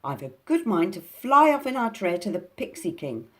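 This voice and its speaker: A woman voicing a small, bold male pixie who talks fiercely and loudly in a storybook reading. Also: mid-range voice, very animated, normal speed, voice pushed up, natural voice. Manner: fiery, indignant, boastful.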